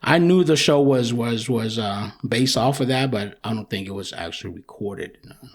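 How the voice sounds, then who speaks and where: A man from Thailand, a podcast guest, chatting casually and modestly, with many halting restarts. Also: medium pitch. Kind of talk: casual chat.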